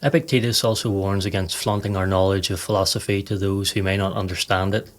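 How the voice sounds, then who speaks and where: A man narrating a scripted guided meditation in a calm, measured, instructive way. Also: deep voice. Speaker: podcast host and meditation guide.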